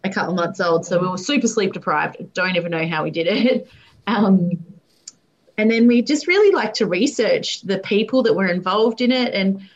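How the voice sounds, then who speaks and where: A woman's voice: mid-range voice, expressive, normal speed. Woman chatting about her distillery, detailed storyteller, slightly muffled lo-fi audio. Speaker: guest, distillery co-founder. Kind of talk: casual chat.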